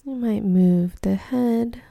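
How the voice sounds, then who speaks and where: A woman leading a guided meditation in a gentle, soothing, breathy near-whisper with long pauses. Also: a little high, no room sound, clean studio sound.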